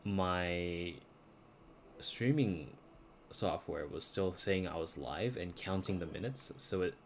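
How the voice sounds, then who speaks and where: Low-voiced man chatting on a game stream. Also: slow pace, slight background noise. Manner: slangy, informal. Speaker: live streamer. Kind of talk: casual gaming stream chat.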